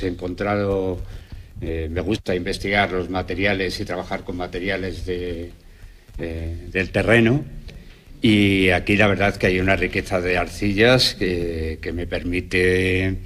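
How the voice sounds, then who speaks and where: Deep male voice, hesitant, conversational interview guest, potter, faint noise with clicks. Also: a bit bright.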